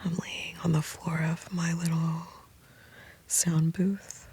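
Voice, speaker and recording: Woman, whispering, soft rambling, flat even tone, small sound booth. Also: fairly low pitch, slow pace, slightly rough. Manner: soft whispering, meandering and intimate. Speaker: host. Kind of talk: whispered late-night rambling.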